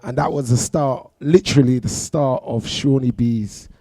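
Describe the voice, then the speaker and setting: A middle-aged man with a London accent, chatting as a guest on a radio show and telling animated stories about his career. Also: mid-range voice, moderate pace, no room sound. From London, England. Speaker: guest DJ and producer. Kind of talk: casual radio interview. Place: radio studio. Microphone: studio mic.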